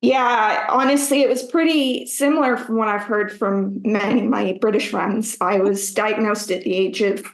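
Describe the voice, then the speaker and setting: A Canadian woman in her thirties, a guest writer answering interview questions in a measured, careful way with some hesitations. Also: mid-range voice, steady tone, reverberant, rough recording. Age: 30s. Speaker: guest, writer and public speaker.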